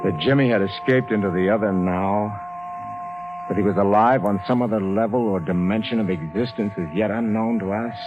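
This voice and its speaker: A man's voice: fairly low pitch, moderate pace, lightly acted. An actor playing a troubled, earnest man who narrates and acts in a 1950s radio drama. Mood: haunted, resigned. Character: troubled, earnest man recounting a friend's eerie disappearance. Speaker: narrator and main character. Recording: dull 1950s radio recording with music.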